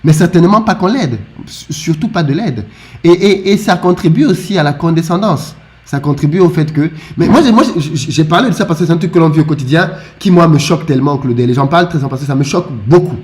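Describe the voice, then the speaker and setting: A man from Africa talking in a casual discussion, animated and often stumbling over repeated words as he restarts. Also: fairly high pitch, quick pace. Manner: animated, with frequent stammered restarts.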